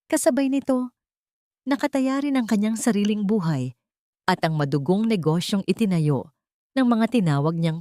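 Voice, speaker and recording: Low-voiced woman narrating drama, dry recording. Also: darkish tone, no room sound. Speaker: story narrator. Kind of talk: dramatic scripted narration of a melodrama.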